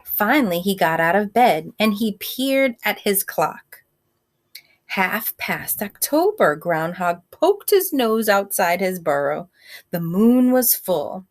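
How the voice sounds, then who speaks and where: A woman reading a picture book aloud like a teacher, in simple child-directed speech, pausing to ask questions and explain words. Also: medium pitch, big pitch swings. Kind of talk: read-aloud storytime with asides and questions.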